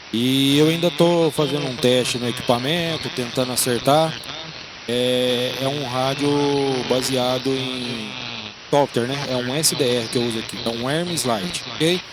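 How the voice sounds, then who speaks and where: A Brazilian man, a newcomer amateur radio operator, chatting casually as he checks in over a radio transmission. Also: mid-range voice. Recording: noisy ham radio transmission.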